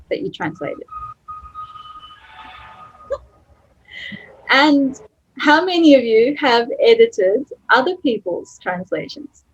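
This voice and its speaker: A middle-aged woman giving a chatty, lively presentation to colleagues. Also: sits high, lo-fi sound. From Australia. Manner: lively and chatty, laughs easily. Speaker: conference speaker.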